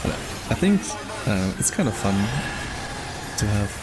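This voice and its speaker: A young man from Germany with a German accent, streaming a game and chatting casually with viewers, musing out loud. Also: on the low side, big pitch swings, unhurried. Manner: musing, thinking aloud. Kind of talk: casual chat with viewers while gaming. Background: game music.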